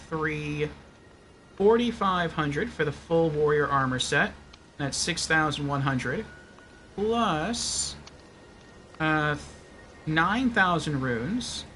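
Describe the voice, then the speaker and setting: A man's voice: fairly high pitch, a bit bright, slight background noise. A man giving casual livestream game commentary, thinking aloud and reasoning things out step by step.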